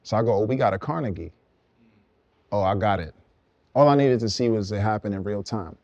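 A young man from Brooklyn, a songwriter guest, explaining his path in relaxed, reflective casual conversation. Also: on the low side, fairly quick, no echo, dull audio. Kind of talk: casual podcast chat.

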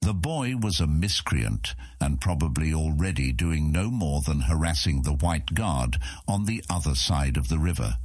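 Male audiobook narrator, very low pitch, lively intonation, scripted fantasy storytelling. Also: husky, no echo, clean studio sound.

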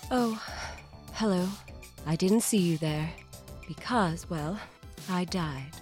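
A woman's voice: lowish voice, slow, darkish tone, soft background music. A woman reading a scripted podcast promo in a playful, melodramatic, mock-solemn manner. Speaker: promo presenter.

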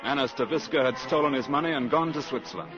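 A man giving scripted, suspenseful, measured narration for a 1950s radio drama. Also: medium pitch. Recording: dull old radio broadcast, faint music.

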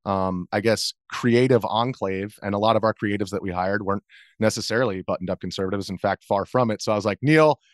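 A man in his thirties with a fairly low voice talks as a guest in a casual interview. He feels his way through answers in loose, wandering sentences, recorded close and dry. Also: brisk, no room sound. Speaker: interview guest, video journalist.

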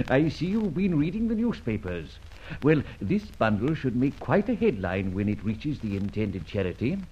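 Masked gentleman rogue for vintage radio serial, male actor: urbane, mocking, lively intonation, dull old recording. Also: medium pitch, normal speed, a little hiss or hum, close to an everyday voice. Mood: cool, wry. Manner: polished, drily ironic, courteous menace. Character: suave gentleman adventurer, masked vigilante, mocking and unruffled.

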